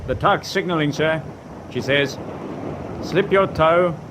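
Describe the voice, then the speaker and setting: A dutiful naval signalman, played by a man in a wartime radio drama. He reports in formal, clipped phrases in a slightly high voice, with clear wind and engine noise behind him. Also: takes it slowly.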